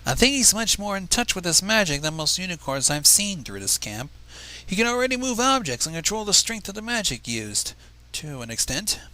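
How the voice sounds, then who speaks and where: A man's voice: sits high, sing-song, normal speed, hardly any pauses, pushed and bright, full character voice, low-quality recording. A man voicing a confident, earnest young female unicorn student in a fanfiction reading, speaking reassuringly.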